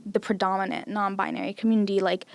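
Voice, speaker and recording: A woman's voice: medium pitch, fast, vocal fry, no echo. A woman in her late twenties, a visual artist guest on a podcast, talking casually with lots of "like". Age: 20s. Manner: chatty, heavy use of "like", animated. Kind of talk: casual interview chat.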